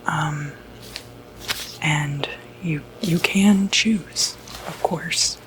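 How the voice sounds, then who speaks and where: A woman playing a gentle, reassuring doctor who treats demonic possession, in a hushed, soothing ASMR medical roleplay. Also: sits low, a little hiss or hum, heavily acted, poor audio. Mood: calm, reassuring. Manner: hushed, unhurried, hesitant, soothing.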